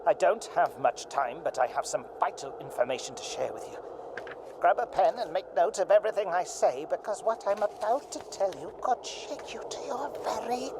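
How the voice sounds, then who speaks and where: A man's voice: sits high, lively intonation, normal speed. A man playing an urgent, melodramatic secret informant leaving a grave recorded message in a comic audio drama. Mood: urgent, secretive. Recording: clear background noise, vehicle-like ambience.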